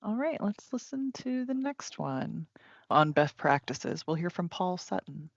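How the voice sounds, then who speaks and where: A man's voice: high-pitched, big pitch swings, measured pace, gravelly, no room sound, dull audio. A man hosting a conversational business podcast as a tax advisor, agreeable in his responses. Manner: agreeable, chuckles mid-sentence.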